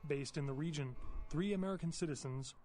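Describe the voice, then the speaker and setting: A man's voice: a little high, brisk, darkish tone, soft background music, natural voice. A man playing a serious TV news anchor reading a scripted newscast within a video game. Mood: serious, formal.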